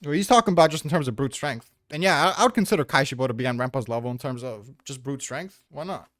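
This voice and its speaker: Fast-talking man in a casual debate. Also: mid-range voice, a bit bright, no room sound, studio quality. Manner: argumentative, casually profane. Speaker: debater on a manga ranking podcast.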